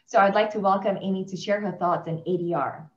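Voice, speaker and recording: A woman moderating an online panel, upbeat and quick to laugh as she introduces the speakers. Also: mid-range voice, steady tone. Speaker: webinar moderator. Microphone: video call. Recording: echoey room, dull video-call audio.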